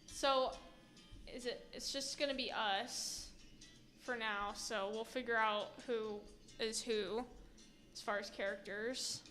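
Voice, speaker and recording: A woman's voice: fairly high pitch, steady tone, slow, fairly bright tone, soft background music. A woman hosting a casual let's play voice-acting session, chatting loosely while setting things up. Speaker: host.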